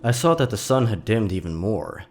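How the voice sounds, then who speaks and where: Low-pitched man narrating horror stories. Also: lively intonation, normal speed, darkish tone. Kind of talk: scripted dramatic narration of horror fiction.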